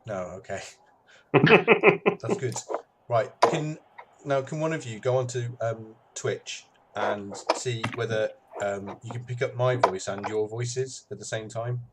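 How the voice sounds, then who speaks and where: A man's voice: medium pitch, measured pace. A man hosting a stream, chatting casually and hesitantly with many ums and self-deprecating asides. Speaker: streamer host. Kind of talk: casual chat while setting up a stream. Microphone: webcam microphone. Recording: noisy webcam mic.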